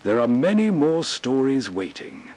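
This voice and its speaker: Slow, husky-voiced male host. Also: mid-range voice, sing-song, darkish tone. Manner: slow, soothing delivery. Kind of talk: scripted narration.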